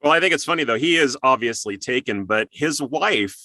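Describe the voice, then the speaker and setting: A man's voice: medium pitch, lively intonation, fairly quick, sharp tone, dry recording. A man hosting an interview in a casual, conversational style, asking questions and adding his own comments. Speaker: interview host, voice actor.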